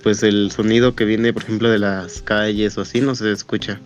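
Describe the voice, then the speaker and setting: A man's voice: on the low side, little pitch movement, fairly quick, highs cut off, soft background music. A young adult man with a Mexican accent, chatting casually as an interview guest about his hobby. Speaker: interview guest, amateur fandub voice actor.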